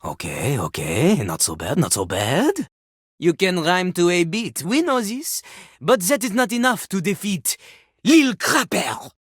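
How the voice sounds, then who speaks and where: A woman's voice: lowish voice, big pitch swings, a little slow, breathy edge, no room sound, studio quality, full character voice. A woman voicing a vain, scornful French-accented toilet who fancies himself a rap master, in a theatrical video game performance with a pompous, dismissive manner. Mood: grudging, challenging. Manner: pompous, speaks of himself in third person, dismissive.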